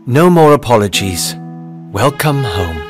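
An actor playing a loyal, sincere and reserved young gentleman in an animated game, speaking in a measured, earnest way. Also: mid-range voice. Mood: sincere, tender. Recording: music under the voice.

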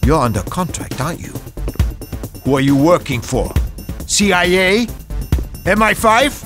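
Nasty henchman in a video game, accusing n scornful, really animated pitch. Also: measured pace, over music. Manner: interrogating, contemptuous. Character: menacing, accusing male villain's henchman. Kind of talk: dramatic game cutscene acting.

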